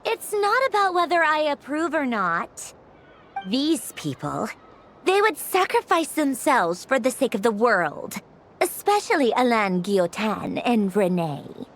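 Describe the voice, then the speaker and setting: Prickly young woman, wildly expressive pitch. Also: unhurried, a little hiss or hum, full character voice. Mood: wistful, disdainful. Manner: curt, haughty. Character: aloof, prickly young woman hiding her feelings. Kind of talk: video game character acting.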